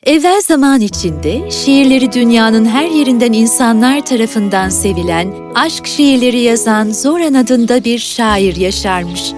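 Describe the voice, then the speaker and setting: Female narrator, higher-pitched voice, scripted fairy tale storytelling, clear background music.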